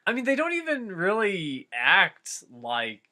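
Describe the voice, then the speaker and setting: A man's voice: high-pitched, huge pitch swings, slow pace, bright, forceful tone. A man in casual banter, nitpicking and skeptical as he comments. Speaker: co-host listening to a reading.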